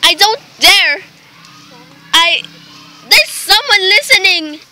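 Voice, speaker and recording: Woman acting a shrill, bright, nervous invalid wife. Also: animated, slow, slight background noise, full character voice, low-quality recording. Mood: terrified. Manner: imperious, dramatic, fretful. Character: nervous, demanding invalid wife, terrified and alone. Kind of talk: dramatic radio play acting.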